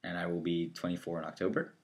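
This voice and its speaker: A man's voice: low voice, flat delivery, quick pace. A man in his early twenties, co-hosting a casual chat in a plain, matter-of-fact way with a wry edge. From northeastern Ohio. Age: 20s. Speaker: co-host.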